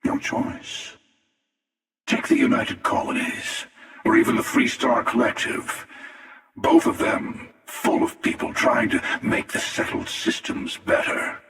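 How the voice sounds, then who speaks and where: A man voicing a jaded, menacing, world-weary older space hunter for a video game, with a gravelly, sardonic and deliberate delivery. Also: a bit bright. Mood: measured, sardonic. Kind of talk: video game character voice lines. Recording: cavernous echo and long reverb, distant.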